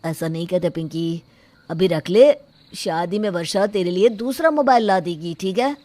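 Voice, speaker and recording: A woman's voice: lowish voice, normal speed, warm tone, dry recording, natural voice. Placating, dismissive young fiancé voiced by a woman. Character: evasive young fiancé, indulgent brother and dutiful son. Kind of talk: dramatised Hindi moral story acting.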